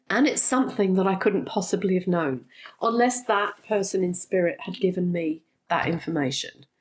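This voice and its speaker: A middle-aged woman talks straight to her viewers in a relaxed, candid way, pausing on frequent ums. Her voice is stuffy and congested from a recent cold. Her pitch sits in an ordinary middle range. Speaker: spiritual teacher and vlogger. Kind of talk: casual talk to camera.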